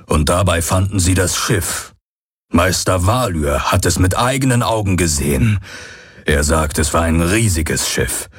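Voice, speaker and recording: A man reading an audiobook voices a grave male alien who tells of past events in a low, slow, husky voice. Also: lively intonation, no room sound, studio quality, exaggerated voice.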